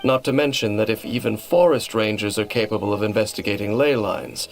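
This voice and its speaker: Aloof, gravelly low-voiced investigator. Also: male voice, a little hiss or hum. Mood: curt, dismissive. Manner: formal, measured, dry. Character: aloof, serious adult male investigator, cool and condescending. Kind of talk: video game voice acting.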